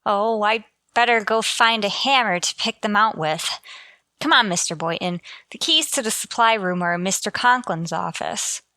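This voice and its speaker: A woman playing a wry, quick-witted schoolteacher with deferential sarcasm, in an old-time radio sitcom style. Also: medium pitch, sharp tone, no echo. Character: wry, quick-witted female high school English teacher.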